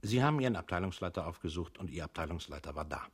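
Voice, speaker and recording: An actor playing an impersonal, matter-of-fact narrator who dictates steps in a radio play. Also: male voice, sits low, expressive, racing, noisy background.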